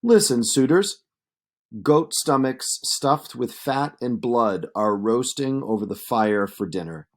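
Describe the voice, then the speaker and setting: Man reading as slow-paced, taunting young suitor. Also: mid-range voice, lively intonation. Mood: commanding. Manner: taunting, domineering. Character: Antinous, arrogant young suitor, mocking and threatening. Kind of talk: storybook read-aloud of epic poetry.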